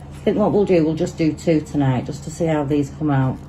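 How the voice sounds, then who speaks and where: A woman's voice: sits low, brisk, warm tone, a little hiss or hum, low-quality recording. A woman with a Manchester accent, chatting and thinking aloud as she demonstrates a resin craft project at her table. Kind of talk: casual hands-on tutorial.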